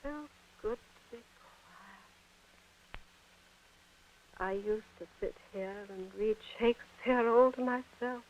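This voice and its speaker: High-pitched, theatrical young actress. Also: female voice, steady tone, slow pace, pauses often. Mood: hushed, melancholy. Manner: theatrical, emotive delivery. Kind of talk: 1940s radio drama acting. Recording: muffled narrow-band 1940 radio, music.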